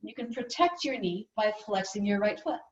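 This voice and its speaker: Female yoga teacher, soothing and gently instructive, recorded from a distance with slightly muffled sound. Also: mid-range voice, expressive, moderate pace, reverberant.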